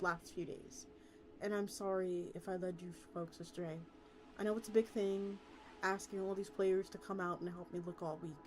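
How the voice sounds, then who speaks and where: A woman voicing an earnest, stubborn, weary country man in a story, with a halting, folksy manner. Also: mid-range voice, slight background noise, close to an everyday voice. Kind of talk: character reading of a story. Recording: loud music behind the voice.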